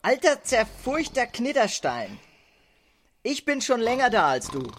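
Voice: mid-range voice, sing-song, a little slow, a bit bright, slight background noise. A performer voicing a cocky, quarrelsome male cave dwarf in a children's audio play, boastful and taunting. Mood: scornful. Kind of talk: children's audio drama acting.

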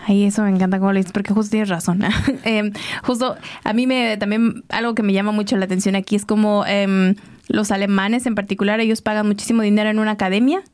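A woman hosting a casual radio interview, often affirming her guests with soft laughs and fillers. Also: mid-range voice, steady tone, fast talker. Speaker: radio show host.

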